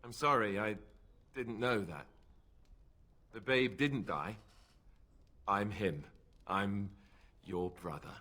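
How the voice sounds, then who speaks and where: An actor playing an awkward, earnest, boyish young warrior in a video game, hesitant and prone to nervous babbling. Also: male voice, lowish voice, slow pace, pauses often, sharp tone, full character voice. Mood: shaken.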